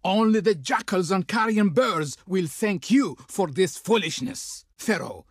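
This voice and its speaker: An actor voicing a haughty ancient Egyptian envoy of the Pharaoh in a video game, speaking in florid, pompous, ceremonious declamation. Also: male voice, sits high, expressive, measured pace, husky, no room sound, heavily acted. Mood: scornful, menacing. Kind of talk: theatrical scripted game diplomacy lines.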